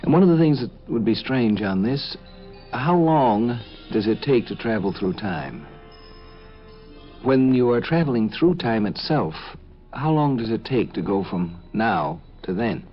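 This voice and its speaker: A man's voice: medium pitch, very animated, a little slow, soft background music. A man hosting a 1950s science fiction radio show, lecturing in a musing, conversational way. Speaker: host and narrator. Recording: muffled 1950s radio recording.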